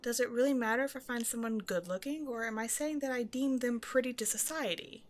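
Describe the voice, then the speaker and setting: A woman's voice: on the high side, fairly quick, close to an everyday voice. A woman playing a shy, self-doubting young woman who rambles hesitantly in an intimate one-sided roleplay conversation with a stranger. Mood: awkward, musing. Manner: hesitant, apologetic, nervously overthinking, giggly.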